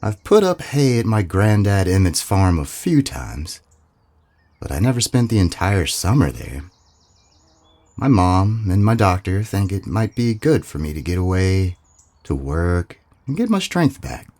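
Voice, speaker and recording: An actor playing an earnest seventeen-year-old farmhand who narrates in a reflective, plainspoken way, as in a scripted audio drama. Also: male voice, sits low, very animated, measured pace, a little mellow, heavily acted. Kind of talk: scripted first-person narration.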